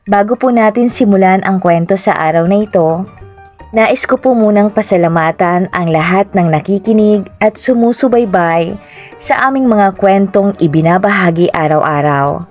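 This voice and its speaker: A woman hosting a story channel, reading scripted thanks and shout-outs in a courteous, formal way. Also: medium pitch, moderate pace. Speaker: host of horror story channel. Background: music.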